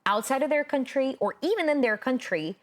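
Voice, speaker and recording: A woman's voice: high voice, animated, a little fast. A young woman from Ukraine whose first language is Ukrainian, hosting a casual interview as an accent coach and talking earnestly with many fillers.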